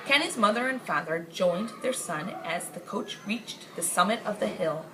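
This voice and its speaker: A woman's voice: mid-range voice, animated, normal speed. A woman reading a children's chapter book aloud as narrator, expressively. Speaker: story reader. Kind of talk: expressive scripted storybook read-aloud. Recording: clearly noisy amateur recording.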